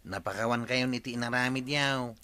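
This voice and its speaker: A man's voice: mid-range voice, a little fast, bright, forceful tone, clear, resonant tone, close to an everyday voice. A man acting in a Filipino radio comedy as a chummy, teasing, meddling village friend with an Ilocano accent. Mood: concerned, gossipy.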